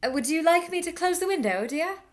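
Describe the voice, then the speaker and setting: A woman's voice: very high-pitched, expressive, brisk. An actress playing a sensible, affectionate young woman in a radio play, genteel and playful. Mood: fond teasing.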